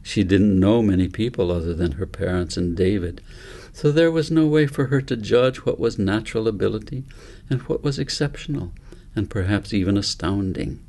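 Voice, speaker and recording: A man's voice: on the low side, normal speed, mellow. A man narrating a story as an author reading his own book aloud.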